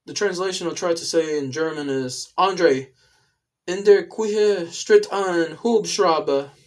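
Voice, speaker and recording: Slow, halting video creator. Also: medium pitch. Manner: halting, deliberate, rambling. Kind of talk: casual video introduction.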